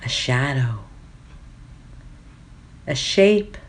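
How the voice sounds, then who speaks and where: A woman leading a guided meditation as a teacher, giving gentle instructions phrase by phrase with long pauses. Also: a bass voice, sing-song.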